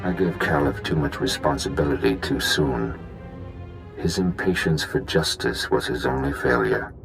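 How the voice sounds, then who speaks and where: Very deep, menacing villain. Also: male voice. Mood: bitter, threatening. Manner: measured, threatening, grandiose. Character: menacing vengeful villain, bitter fallen master. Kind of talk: dramatic video game villain acting. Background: clear background music.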